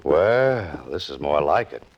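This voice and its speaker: A man's voice: very deep voice, big pitch swings, takes it slowly, heavily acted. An American man playing a wry, easygoing writer-adventurer in a 1940s radio detective drama, with laconic, hesitant asides. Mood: cautious, dry. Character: wry, easygoing male writer-adventurer narrating his own case. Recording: dull old radio recording with music.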